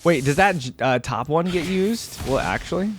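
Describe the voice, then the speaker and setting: A man's voice: on the high side, lively intonation, moderate pace, slight background noise. A young man hosting a casual reaction video, thinking aloud as he watches, with frequent exclamations and laughs.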